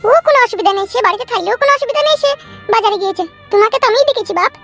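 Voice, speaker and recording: A woman's voice: very high-pitched, expressive, very fast, a bit bright, heavily acted. A woman voicing a middle-aged, scheming village mother-in-law in a comedy cartoon, fawning and folksy, with a Bengali accent. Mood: fawning, probing. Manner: fawning, folksy, repetitive endearments. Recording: music behind the voice.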